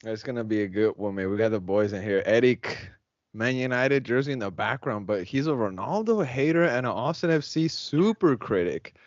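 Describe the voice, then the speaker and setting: A man's voice: medium pitch, animated, moderate pace, no echo. A man hosting a podcast with an upbeat, loose, slangy delivery. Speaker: podcast host. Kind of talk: casual chat. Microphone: video call. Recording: dull lo-fi sound.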